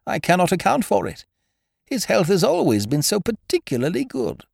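A man's voice: high-pitched, wildly swooping pitch, normal speed, creaky, husky, no room sound, studio quality, pitched above the performer's own voice, exaggerated voice. A man doing a fussy, fretful old society lady. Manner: prim, fretful, genteel. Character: fussy elderly aristocratic lady, doting.

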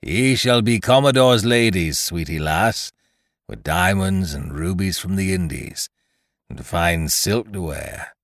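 A man's voice: deep voice, very animated, slow pace, gravelly, a bit bright, no echo, clean studio sound, heavily acted. A man voicing a brutal, drunken, leering pirate captain with archaic sailor speech in an adventure audiobook. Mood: drunken jocularity. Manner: roaring, maudlin jocularity, archaic sailor speech.

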